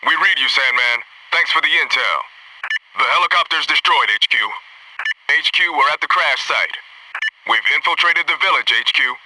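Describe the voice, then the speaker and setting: A man's voice: on the high side, normal speed, recorded from a distance, background noise, natural voice. A man playing an alert, disciplined Navy SEAL squad soldier in a military video game, giving clipped, businesslike tactical reports. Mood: focused, businesslike.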